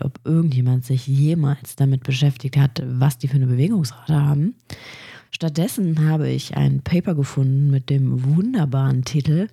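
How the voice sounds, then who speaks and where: A woman's voice: low voice, vocal fry, warm tone, breathy edge, no echo, clean studio sound. A woman hosting a casual solo science podcast, talking eagerly about nerdy facts while she has a cold and a blocked nose. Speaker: podcast host.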